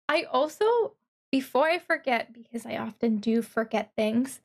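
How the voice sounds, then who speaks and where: A woman VTuber giving reaction commentary on a game trailer in a thoughtful, analytical, halting way. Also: on the high side, creaky. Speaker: VTuber streamer.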